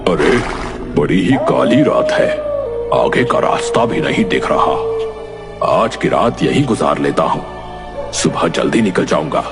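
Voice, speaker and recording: A man's voice: deep voice, sing-song, a little slow, exaggerated voice. A man playing a furtive horse thief in a cartoon, muttering to himself. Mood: wary. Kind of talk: Hindi cartoon voice acting. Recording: long reverb, loud music behind.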